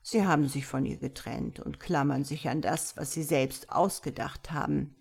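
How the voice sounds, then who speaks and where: A woman voicing a wise, patient tomcat in a storybook, speaking in a measured, gently instructive and slightly pompous way. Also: sits low, creaky, husky, voice pushed down, heavily acted. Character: wise, patient male tomcat, calm mysterious mentor. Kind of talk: storybook character voice.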